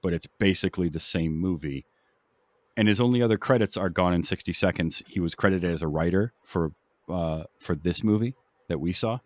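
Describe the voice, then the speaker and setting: Deep voiced guy on a movie podcast, explaining stuff kinda haltingly, very dry sound. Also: no echo. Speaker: film podcast host. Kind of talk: casual film discussion, recapping background.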